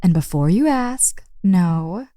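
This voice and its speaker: A woman's voice: on the low side, lively intonation, slow pace, mellow, dry recording, clean studio sound. A woman playing a confident, teasing young woman in an intimate roleplay audio drama, speaking casually and playfully to the listener. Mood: playfully exasperated.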